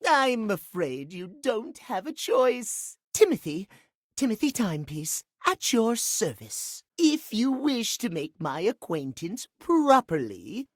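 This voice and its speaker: A man's voice: sits high, huge pitch swings, takes it slowly, no room sound, studio quality, heavily acted. A man voicing a fussy, pompous male clock obsessed with punctuality in a video game, with prim, haughty, precise elocution. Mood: prim, officious. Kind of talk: theatrical video game character acting.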